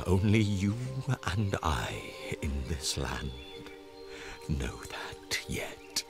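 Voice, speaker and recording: An actor playing a great lion ruler in a radio drama, solemn, grave and tender, with a measured, majestic delivery and weighty pauses. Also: male voice, a bass voice, heavily acted.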